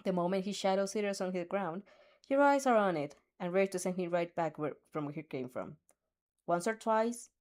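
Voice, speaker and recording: A woman's voice: medium pitch, darkish tone. A woman reading a fan story aloud in second person, often stumbling over words and restarting. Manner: reads with frequent slips, restarts and giggles. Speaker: fanfiction reader.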